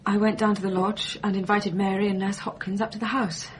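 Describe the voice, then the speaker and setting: A woman's voice: mid-range voice, level tone, a little fast, slight background noise, natural voice. An actress with an English accent playing a proud, troubled young woman accused of murder, restrained and brooding in a radio drama. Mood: brooding, confessional. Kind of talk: broadcast radio mystery drama acting.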